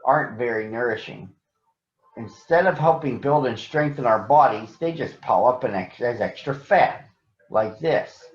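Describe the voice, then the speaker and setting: A man voicing a patient female grizzly bear doctor from a storybook, explaining things in a deliberate, encouraging way. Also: mid-range voice, natural voice. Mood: firm, encouraging. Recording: echoey room, slightly dull sound.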